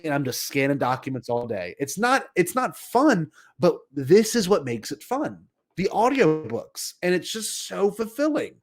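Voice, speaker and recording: A man co-hosting a podcast in casual banter, talking in an animated, jokey and enthusiastic way. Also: fairly high pitch. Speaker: podcast co-host.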